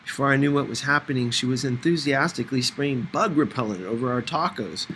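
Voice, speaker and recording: A man's voice: mid-range voice, a little fast, a bit bright, poor audio. Man narrating a novel, faint background noise. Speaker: audiobook reader. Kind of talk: scripted first-person novel narration.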